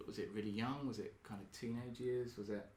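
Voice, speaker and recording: A man hosts a casual group conversation, asking probing questions in a halting way with frequent ums. His voice sits at a middling pitch. The meeting room around him sounds noticeably echoey. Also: fairly even intonation. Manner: hesitant, lots of ums, gently probing questions. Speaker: group facilitator and podcast host. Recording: roomy echo.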